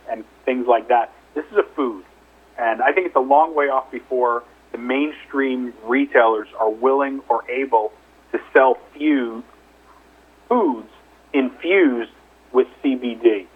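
Male company executive, husky, conversational and hedging, heard through a narrow phone-line recording on an investor call. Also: medium pitch. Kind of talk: investor call question-and-answer. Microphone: telephone conference call. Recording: narrow, muffled telephone line.

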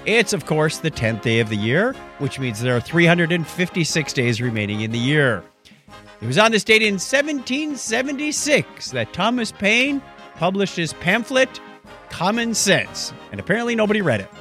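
A man in his fifties talks casually as a podcast co-host. His voice sits a bit high and swings widely in pitch. He is jokey and likes to act out quotes and sound effects while chatting. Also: very animated, normal speed, bright, forceful tone, faint music behind. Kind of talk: casual banter and listener feedback.

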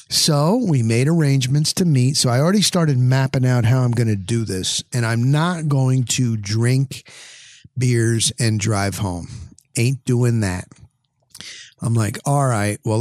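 A man's voice: mid-range voice, darkish tone, no echo, clean studio sound. A middle-aged American man from New Jersey hosting a podcast, telling casual anecdotes in short punchy phrases and acting out bits of dialogue. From New Jersey, United States. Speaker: podcast host.

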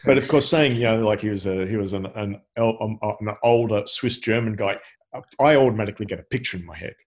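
A man's voice: on the low side, fast talker. A man co-hosting a podcast, giving feedback in a casual, reflective and explanatory way. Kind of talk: casual discussion of storytelling.

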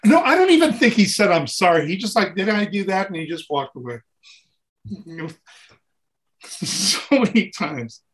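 A man's voice: high voice, big pitch swings, moderate pace, long pauses, fairly bright tone. A man telling casual anecdotes as an interview guest, acting out bits of dialogue and repeating phrases for emphasis in an informal way. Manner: acts out dialogue and sounds while telling stories. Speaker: interview guest, touring keyboard player.

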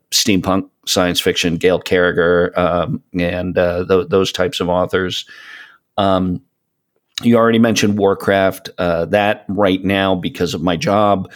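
A man in his late fifties with a low-pitched voice talks as a guest in a casual interview. He feels his way through sentences haltingly, wandering into asides as he describes himself. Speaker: podcast guest, fellow podcaster.

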